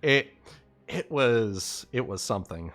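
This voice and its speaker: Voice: medium pitch, expressive, slow pace, a bit bright, soft background music. A game livestream player chatting casually in a joking, sweary way. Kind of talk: casual chat.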